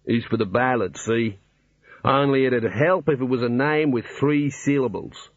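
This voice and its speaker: A man's voice: mid-range voice, unhurried, dry recording, dull audio. A man voicing an eager, persistent ballad writer with an Australian accent and a polite, colloquial manner in an audiobook. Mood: eager, prying.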